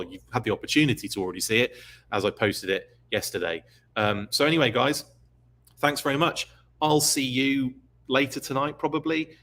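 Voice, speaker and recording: A man with a slightly low voice hosts a live news recap stream. He rambles casually and fills his pauses with ums and uhs. His voice is starting to give out. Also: fairly bright tone. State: losing his voice. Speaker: podcast host.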